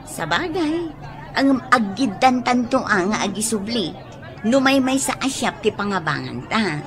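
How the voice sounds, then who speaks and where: A woman's voice: fairly high pitch, big pitch swings, measured pace, crowd noise. An actress playing a nosy, needling young woman in an Ilocano radio drama. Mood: probing. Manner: needling, pointed.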